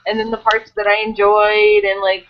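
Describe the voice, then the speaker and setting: A woman's voice: mid-range voice, little pitch movement, normal speed. A woman chatting casually as a cast member, rambling with lots of "like" and "um". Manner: rambling, full of "like" and "um". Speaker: cast member of an audio drama. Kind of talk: casual chat. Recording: dull lo-fi sound.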